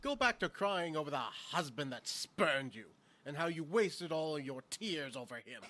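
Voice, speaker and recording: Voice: mid-range voice, expressive, a little slow, heavy background noise, natural voice. A performer playing a mocking, scornful musician bully in a fan audio drama, with a sneering, taunting delivery.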